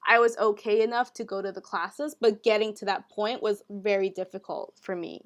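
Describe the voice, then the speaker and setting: A young woman co-hosting a podcast, telling stories in a relaxed, casual way and often saying "like". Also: medium pitch, moderate pace. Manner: relaxed storytelling, heavy use of "like". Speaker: podcast co-host. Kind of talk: casual chat.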